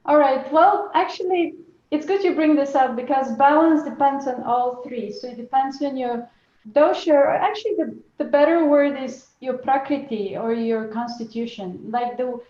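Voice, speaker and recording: A woman's voice: high-pitched, normal speed, warm tone. A woman teaching Ayurvedic cooking over a video call, explaining patiently and often restarting her phrases. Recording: roomy and muffled video call audio.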